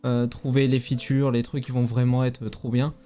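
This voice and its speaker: A man who works as a native mobile app developer, talking casually as a guest in an interview, relaxed and informal with frequent hesitations. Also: medium pitch, moderate pace, faint music behind. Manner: relaxed, informal, many fillers.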